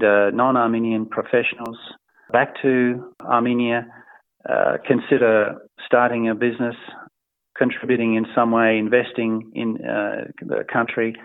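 A man's voice: medium pitch, no echo. Hesitant Australian man on a tinny line. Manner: hesitant, many fillers, warm chuckles. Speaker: interviewee. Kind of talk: casual interview answers. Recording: tinny phone-like line, dry.

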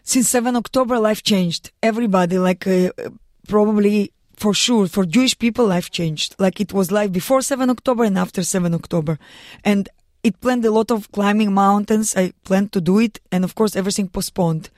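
Russian-accented woman guest, earnest, halting English, mid-pitched, dry studio sound. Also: darkish tone, no room sound. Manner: earnest, halting non-native English with restarts. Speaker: guest, mountaineer and lawyer. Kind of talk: radio studio interview. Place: radio studio.

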